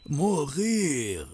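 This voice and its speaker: Gloating cartoon schemer, super slow, voice swooping all over. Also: male voice, mid-range voice, darkish tone, breathy edge, no echo, heavily acted. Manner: theatrical, drawn-out delivery with evil chuckles. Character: scheming gloating villainous rabbi, male plotter. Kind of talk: animated cartoon villain acting.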